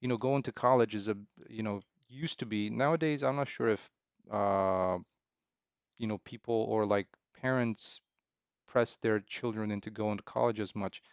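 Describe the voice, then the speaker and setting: Man, smooth clear voice, hesitant rambling delivery, casual unscripted chat, close dry sound. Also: on the low side, no room sound, highs cut off. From Romania. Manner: hesitant, rambling, halting with repeated phrases. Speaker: game developer streaming. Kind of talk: casual unscripted chat, answering viewers' questions.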